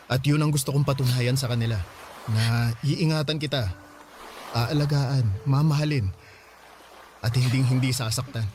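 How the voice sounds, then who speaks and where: A man's voice: medium pitch, a little mellow, slight background noise, close to an everyday voice. A man playing an earnest, caring young boyfriend in a radio drama, speaking in a tender, reassuring way.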